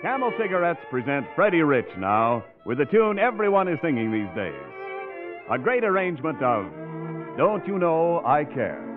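A man's voice: on the high side, sing-song, normal speed. An American man announcing in an emphatic, polished, declamatory 1940s radio commercial style. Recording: tinny old radio recording with music.

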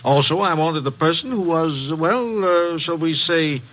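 An actor playing a secretive company president in a 1950s radio drama, formal, careful and hesitant. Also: male voice, on the high side, lively intonation, a little slow, a bit bright. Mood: businesslike. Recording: vintage 1950s radio recording.

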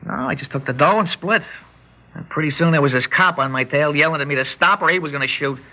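A man's voice: fairly high pitch, fast talker, lightly acted. An actor playing a cocky, jumpy young gunman with tough, slangy threats in an old-time radio drama. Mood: edgy. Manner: tough slangy street talk, barked threats. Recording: muffled old radio recording.

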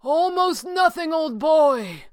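A woman's voice: fairly high pitch, very animated, normal speed, no echo, studio quality, voice pushed up. A woman voicing a gallant, composed male sorcerer in a pulp adventure audiobook, old-fashioned and authoritative. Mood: strained, urgent.

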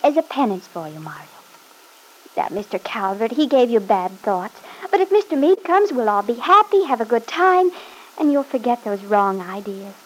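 An actress playing a loving, patient young Italian wife in a classic radio drama, speaking in a tender, coaxing way. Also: female voice, a little high, big pitch swings, moderate pace, rough recording. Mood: earnest, pleading. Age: young adult.

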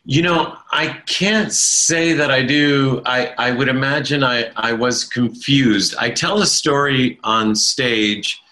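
A man being interviewed, recalling his past in a thoughtful, halting way with frequent restarts and a self-deprecating humor. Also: mid-range voice, bright, forceful tone. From Italian-speaking family in America. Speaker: interview guest, stage performer. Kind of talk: reflective conversational storytelling.

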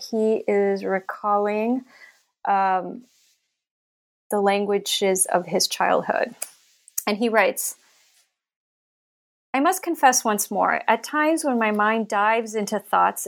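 A woman's voice: medium pitch, creaky. A woman author in an interview, reading a passage aloud and then talking it through, thoughtful, with many fillers and restarts. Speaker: author and scholar.

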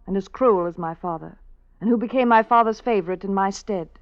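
An actress playing an aggrieved, brooding wife and disinherited daughter in a vintage radio drama, with theatrical archaic phrasing. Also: female voice, medium pitch, fairly quick, smooth, close to an everyday voice. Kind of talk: 1950s radio drama acting. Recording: dull old radio recording.

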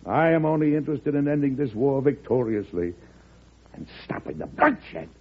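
An actor playing a weary, resolute wartime president in a vintage radio drama, measured and grave with a stern edge. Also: male voice, medium pitch, animated, slightly rough. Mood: stern, indignant. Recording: muffled, narrow-band old radio broadcast.

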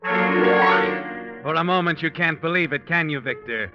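An actor narrating an old-time radio mystery in dramatic second person, addressing the hero directly. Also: male voice, a little high, measured pace, loud music behind the voice, lightly acted. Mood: ominous. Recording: dull old radio recording.

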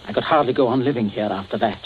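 An actor playing a cold, calculating gentleman with measured, formal speech in a 1940s radio suspense drama, on a muffled, noisy recording. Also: mid-range voice, lightly acted. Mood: cold, grave. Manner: measured, deliberate, formal phrasing. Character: cold, commanding, calculating gentleman blackmailing with menace.

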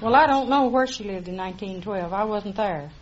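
A woman's voice: medium pitch, a little fast, a loud crowd. An older woman with a Texan accent chatting as a contestant in a plain, folksy, matter-of-fact way. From Texas, United States. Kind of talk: plain-spoken folksy conversation. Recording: noisy muffled vintage radio, loud audience. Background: loud studio audience.

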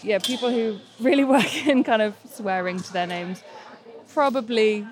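A woman's voice: a little high, moderate pace. A woman roller derby player speaks casually in an interview. She sounds enthusiastic and reflective.

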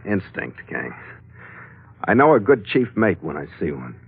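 A man's voice: low voice, very animated, measured pace, slightly rough, full character voice. An actor playing a tough, wry sea captain who narrates his own adventures in hard-boiled 1940s radio style. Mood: wry, companionable. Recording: narrow old radio broadcast recording.

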